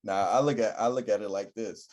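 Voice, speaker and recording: Young guy on a podcast, kinda husky low voice, tells stories and does everybody's lines. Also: fairly quick. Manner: animated storyteller, acts out quoted people. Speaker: podcast co-host. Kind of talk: casual chat.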